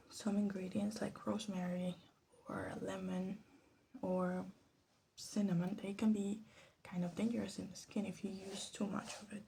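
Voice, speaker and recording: Slow, soft-spoken woman, creaky ASMR voice. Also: mid-range voice, a little hiss or hum. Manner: soft-spoken, hesitant, drifting into whispers. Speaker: ASMR creator making perfume. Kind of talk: ASMR, casual rambling commentary. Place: small room at home.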